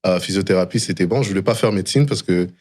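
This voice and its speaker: Male interview guest, low register, reflective storyteller, slips English phrases into French. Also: fast, darkish tone. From DR Congo and Canada. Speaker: interview guest, tech founder. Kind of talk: reflective personal storytelling in conversation.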